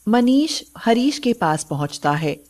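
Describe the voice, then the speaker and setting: A woman's voice: on the high side, very animated, unhurried, a little mellow. A woman narrating a scripted story as its storyteller.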